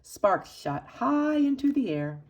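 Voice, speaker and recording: A woman reading a children's picture book aloud expressively, with dramatic emphasis and pauses for young listeners. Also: high-pitched, sing-song, a little mellow. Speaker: storybook reader for children's church.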